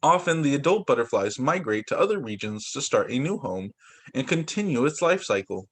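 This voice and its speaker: Man teacher reading aloud, lively voice. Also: medium pitch, a little fast, breathy edge. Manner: reads aloud with asides and exclamations. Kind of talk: reading picture books aloud to children. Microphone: computer mic on a video call.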